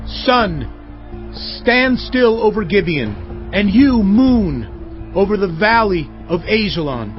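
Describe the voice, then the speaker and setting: A stern ancient commander, raised animated voice, biblical audio drama, slightly dull sound. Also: male voice, high voice, takes it slowly, soft background music, exaggerated voice. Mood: loud commanding. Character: commanding, stern male Israelite war leader. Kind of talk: dramatized audio Bible acting.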